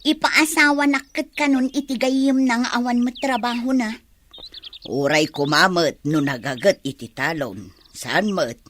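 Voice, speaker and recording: A woman's voice: medium pitch, unhurried, fairly bright tone, lightly acted. A woman playing a disapproving, nagging village mother in a comedy radio drama. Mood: grumbling. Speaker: actor. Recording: faint noise with chirping birds. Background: birds chirping.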